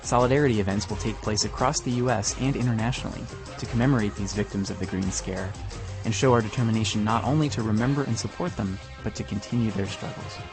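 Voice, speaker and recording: A man's voice: on the low side, fast talker, over music. A man co-hosting a podcast, reading scripted news. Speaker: podcast co-host.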